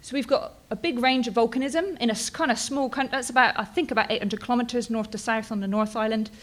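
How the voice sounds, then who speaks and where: A woman's voice: on the high side, fairly even intonation, quick pace, fairly bright tone. A woman in her thirties with a British accent, presenting her research at a conference in a casual, chatty way with frequent ums and "right?" tags. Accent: British English. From England, Bristol.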